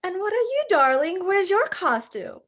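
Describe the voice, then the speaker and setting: A woman's voice: extremely high pitch, very animated, normal speed, a bit bright, full character voice. A woman playing a radiant, lovestruck lady guest, gushing and coy in a comedy table read.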